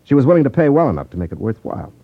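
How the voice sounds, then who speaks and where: An actor playing a shrewd, unflappable detective, wry and self-assured, in a 1950s radio drama. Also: male voice, mid-range voice, big pitch swings, racing. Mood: confident explaining. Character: shrewd, unflappable male detective, coolly needling a crook. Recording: muffled vintage 1950s radio recording.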